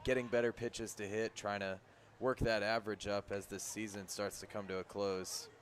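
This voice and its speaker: A man giving relaxed, analytical color commentary on a baseball radio broadcast. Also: on the low side, moderate pace, a little hiss or hum. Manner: relaxed, opens with "yeah", analytical.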